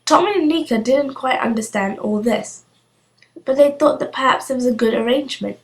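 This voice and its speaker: A woman's voice: sits high, a little fast. A woman reading a children's storybook aloud as scripted narration. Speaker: storybook reader.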